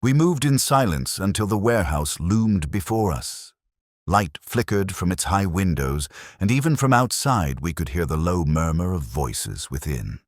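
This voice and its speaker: A man narrating a detective story from a script in a measured, solemn, dramatic manner. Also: low-pitched, no echo, clean studio sound. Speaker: audiobook narrator.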